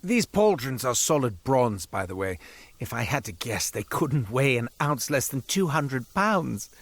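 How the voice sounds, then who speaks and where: A man's voice: medium pitch, husky, heavily acted. An actor playing a cursed, self-pitying merchant in a video game, with wry, florid, melodramatic salesman patter. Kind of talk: scripted video game character monologue.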